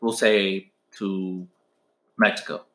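A curious young American man with a bright, somewhat low voice asks question after question in a laid-back podcast chat, quick to laugh. The room gives his voice a noticeable echo. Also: flat delivery. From United States. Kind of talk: casual chat. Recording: roomy echo.

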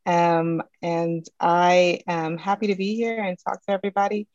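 A woman's voice: fairly low pitch, little pitch movement, moderate pace, a little mellow, no echo. A woman scientist introducing herself carefully on a video call panel, correcting herself and using many fillers. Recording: dull, dry video-call audio.

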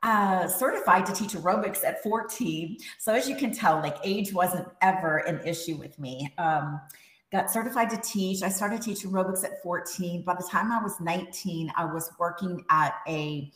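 A woman's voice: on the low side, normal speed, fairly bright tone, echoey room. A woman who grew up in Texas, talking as a podcast guest in a casual, upbeat way and laughing often. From USA, Texas.

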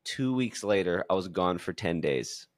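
Chill guy in his 30s hosting, kinda low voice, super dry audio. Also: normal speed, no room sound. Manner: relaxed and chummy. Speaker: podcast host. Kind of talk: casual interview.

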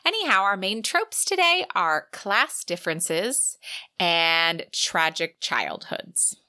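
A woman's voice: fairly low pitch, sing-song, slow, sharp tone, no room sound, clean studio sound. A woman co-hosting a book podcast, mixing casual chat with a read-out synopsis. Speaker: podcast co-host.

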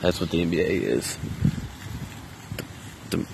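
Quiet low-voiced man talking outdoors. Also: expressive, unhurried, creaky, noisy background. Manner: quiet, subdued, hesitant with many restarts; loose and conversational with casual swearing. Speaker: vlogger. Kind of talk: casual solo monologue. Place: outdoors in a park.

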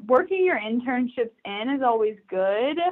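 A woman's voice: on the high side, lively intonation, normal speed. Young woman recruiter, upbeat and chatty, laughs easily, thin phone-quality audio. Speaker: recruiter, podcast guest. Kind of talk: casual interview chat. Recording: tinny phone-like line.

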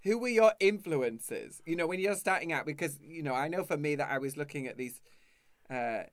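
A man's voice: a little high, animated, moderate pace, no room sound. A man in his thirties hosting a camp, chatty video-call conversation and laughing often. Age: 30s. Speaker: host. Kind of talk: casual chat over video call.

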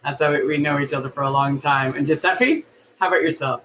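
A woman's voice: low voice, fast, crowd noise. A woman in her forties hosts an interview podcast over a video call. She keeps things upbeat, laughing often as she guides her guests. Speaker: podcast host. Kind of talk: interview hosting.

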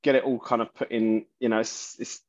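A man, a musician guest in an interview, talking haltingly and often restarting his sentences. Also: medium pitch, flat delivery. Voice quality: loud voice. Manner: halting, searching for words, many restarts. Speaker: musician guest, bassist and singer. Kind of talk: casual interview talk. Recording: dull lo-fi sound.

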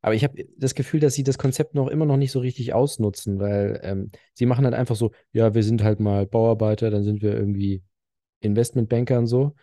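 Low, dark-voiced podcast co-host. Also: male voice, fairly even intonation, brisk, no room sound, studio quality. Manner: rambling, filler-heavy storytelling. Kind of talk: casual chat.

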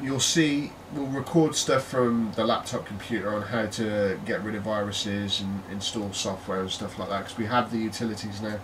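A man's voice: medium pitch, a bit bright. Man, British accent, casual joking chat, distant mic, light background noise. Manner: chatty, jokey, trails into laughter. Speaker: podcast co-host.

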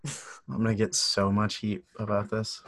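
A man co-hosting a podcast, chatting casually and joking, often slipping into quick made-up quotes. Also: on the low side, monotone, fairly bright tone. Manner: joking, acts out quick silly voices. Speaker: podcast co-host. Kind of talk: casual chat.

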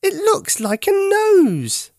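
Storybook toy bear, small, earnest and plaintive, high and very swoopy performed by an adult narrator. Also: wildly swooping pitch, slow pace, a little mellow, no echo, studio quality, heavily acted. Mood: delighted realisation.

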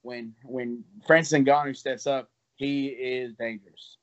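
A young man in his mid-twenties answers questions in a loose, rambling, casual way, circling back on his words and laughing between thoughts. His voice sits at an ordinary pitch and comes through a dull, slightly muffled recording. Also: steady tone, a little slow, fairly bright tone. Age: 20s. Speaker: fighter. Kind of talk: casual interview talk.

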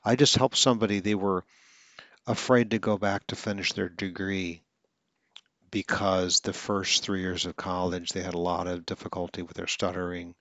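A man's voice: low-pitched, level tone, moderate pace, a bit bright, no echo, muffled recording. A man who stutters now and then, hosting a podcast and coaching listeners in an earnest, instructional monologue.

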